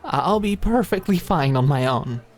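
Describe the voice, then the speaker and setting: Woman acting a nervous, flippant, high-strung young woman. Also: animated, faint music behind, a faint crowd. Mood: forced casual. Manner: halting, awkward, forced casual, flippant.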